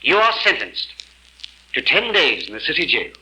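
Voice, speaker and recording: An actor playing a stern, commanding judge who speaks in clipped tones in an old-time radio drama. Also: male voice, on the high side, huge pitch swings, normal speed, bright, forceful tone, full character voice, low-quality recording. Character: stern male judge, impatient authority. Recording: faintly hissy old radio recording.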